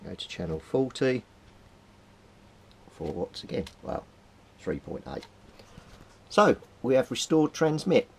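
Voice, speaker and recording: A man's voice: medium pitch, big pitch swings, slow, gravelly, long pauses, husky. A middle-aged British man giving a casual hands-on demonstration, informally thinking aloud as he works at a bench. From Britain. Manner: informal, thinking aloud while handling equipment. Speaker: hobbyist radio repairer presenting a video. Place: indoors at a cluttered workbench.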